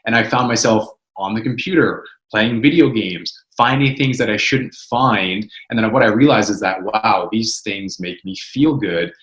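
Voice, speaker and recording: A man's voice: fairly low pitch, moderate pace, hardly any pauses, a bit bright, roomy sound, low-quality recording. A Canadian man in his twenties from Canada, an interview guest earnestly telling his personal story in a frank, conversational way. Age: 20s. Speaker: ministry co-founder, guest.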